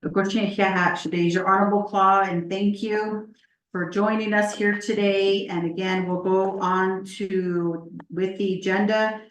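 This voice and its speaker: A woman chairing a committee meeting, moving through the agenda in a measured, procedural way with frequent fillers. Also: medium pitch, steady tone. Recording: echoey meeting room.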